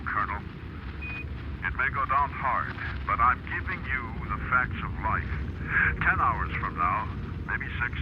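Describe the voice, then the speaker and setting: Stern general through loud roaring noise, man acting. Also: medium pitch, highs cut off, close to an everyday voice. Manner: deliberate, stern, authoritative. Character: grave, commanding military general at mission base. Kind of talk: radio drama acting.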